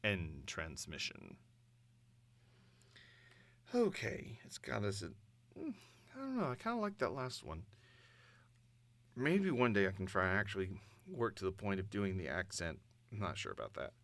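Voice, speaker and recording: A man's voice: fairly low pitch, very animated, normal speed, fairly bright tone, no room sound. A man chatting casually and off the cuff, as an amateur voice actor vlogging from a home recording space. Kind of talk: casual off-the-cuff vlog chat.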